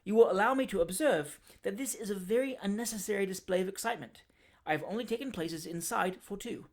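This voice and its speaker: A man voicing a mild, courteous elderly gentleman who speaks politely and calmly. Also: high-pitched, fast, voice pushed up. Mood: placating.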